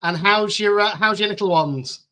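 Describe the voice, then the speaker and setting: A man's voice: sits high, normal speed, pushed and bright. A man hosting a casual live-stream chat, upbeat and encouraging, speaking over a video call. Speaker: host.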